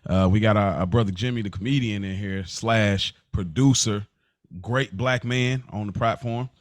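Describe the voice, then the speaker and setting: A man speaking as a podcast host in casual, slangy, animated chat. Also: on the low side, normal speed, no echo, studio quality.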